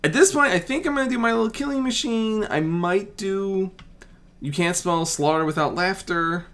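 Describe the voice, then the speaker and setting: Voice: medium pitch, normal speed, fairly bright tone. An amateur storyteller reading fiction expressively and chatting in casual asides.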